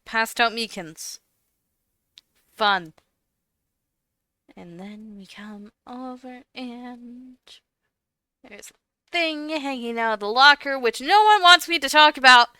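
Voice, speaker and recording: A woman livestreaming a video game playthrough with animated, exclamatory commentary and mild cursing. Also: fairly high pitch, slow, pauses often, clear, resonant tone, dry recording. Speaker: game streamer. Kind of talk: livestream playthrough commentary, reading dialogue aloud. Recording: music playing behind voice. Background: game music.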